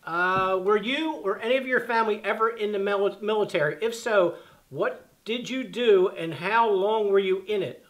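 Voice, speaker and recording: High-voiced man in his fifties, halting. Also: fairly bright tone, low-quality recording. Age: 50s. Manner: halting, reads questions aloud, many fillers and restarts. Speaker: channel host answering fan questions. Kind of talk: casual chat. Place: living room by a fireplace, sitting on the floor.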